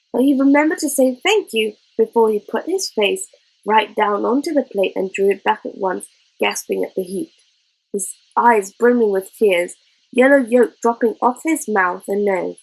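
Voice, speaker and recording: A woman reading a children's story aloud in an expressive narrating style. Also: medium pitch, moderate pace, poor audio. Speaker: storybook reader.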